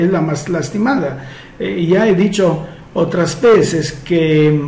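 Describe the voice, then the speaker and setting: A man who is not a native Spanish speaker, talking slowly and hesitantly as a therapist answering follower questions, with frequent fillers. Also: on the high side, a little mellow, echoey room, poor audio. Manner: slow and measured, many hesitations and fillers. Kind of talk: casual solo Q&A monologue.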